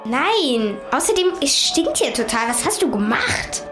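A cheeky, easily bored schoolgirl played by a female performer in a homemade radio play. Her voice is high, and it sounds in a roomy space with loud music behind it. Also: sing-song, measured pace. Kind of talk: amateur children's radio play.

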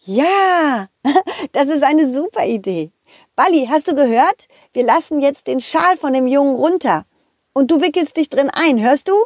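An optimistic, loyal young male dog from a children's audio play, fussing eagerly and warmly over his little friend, voiced by a woman. The voice sits high and skips lively up and down. The sound is dry and close, with no room around it. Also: a little slow, no room sound. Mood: relieved, encouraging.

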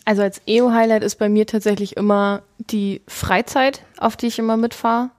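A woman's voice: medium pitch, level tone, normal speed, a little mellow, no echo, clean studio sound. A woman of twenty-three from northern Germany, chatting casually with many fillers. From Friesland, northern Germany. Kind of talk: casual chat.

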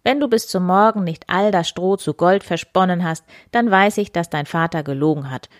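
A woman's voice: lowish voice, moderate pace, dry recording, clean studio sound, natural voice. Woman doing a greedy commanding king.

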